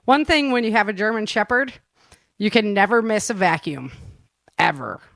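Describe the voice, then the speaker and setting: A woman's voice: medium pitch, sing-song, normal speed, creaky, fairly bright tone, dry recording. A woman hosting a podcast in casual chat, with an informal, rambling, giggly way of talking. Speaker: podcast host.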